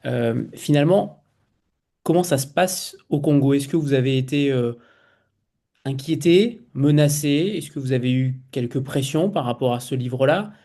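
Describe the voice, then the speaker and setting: A man's voice: medium pitch, moderate pace, mellow. A man hosting a literary interview, asking long, multi-part questions and reading out a quote from the book.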